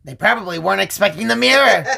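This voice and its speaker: Very creaky, lively woman bantering. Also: mid-range voice, fast talker. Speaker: listening co-host reacting to the story. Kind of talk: casual banter.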